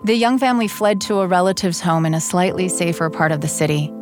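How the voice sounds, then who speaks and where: A woman hosting a radio show, reading scripted narration in a clear, measured way. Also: on the low side, over music.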